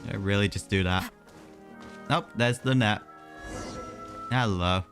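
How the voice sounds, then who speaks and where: Youngish guy streaming a game, lowish voice, chatty n jokey, faint music behind. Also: measured pace, fairly bright tone. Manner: chatty, offhand, joking. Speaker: game livestreamer. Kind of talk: casual gameplay commentary. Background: game music and dialogue.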